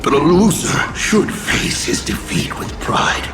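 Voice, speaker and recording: A man voicing a proud, theatrical and ancient scheming villain in a video game, speaking in a grandiose, deliberate, gravelly way. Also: on the high side, animated. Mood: proud, resigned. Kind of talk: dramatic video game voice acting. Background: music.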